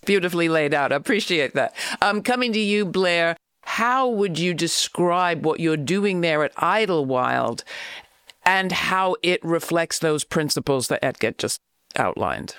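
Brief: woman interview host, smooth tone, dry close sound, friendly measured questions. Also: fairly low pitch, animated, fairly bright tone, no echo. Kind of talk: conversational interviewing.

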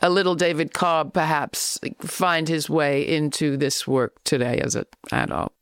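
Smooth-voiced woman hosting an interview. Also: low voice, steady tone, measured pace, no room sound, clean studio sound. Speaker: interview host. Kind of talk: conversational interviewing.

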